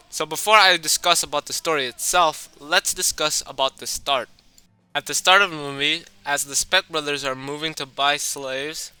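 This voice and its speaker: Bright, clear male video essayist. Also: fairly high pitch, poor audio. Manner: conversational, addressing the viewer directly. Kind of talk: scripted film-analysis narration.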